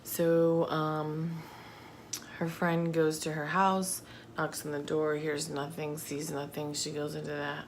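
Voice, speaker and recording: A woman telling true crime stories casually, in a halting, informal, chatty manner. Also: low voice, level tone. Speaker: host of a true crime video channel. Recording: faint background noise.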